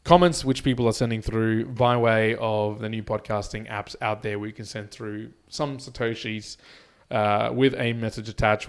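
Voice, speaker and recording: A man's voice: fairly low pitch, clean tone. An Australian man co-hosting a podcast, reading listener messages aloud and chatting casually. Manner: relaxed, chatty, says "mate" often. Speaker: podcast co-host.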